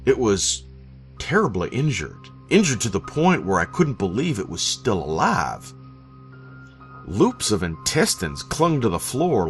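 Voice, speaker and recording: A man reading a first-person horror story in a deliberate, theatrical way with dramatic pauses. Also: fairly low pitch. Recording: narration over background music. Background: eerie background music.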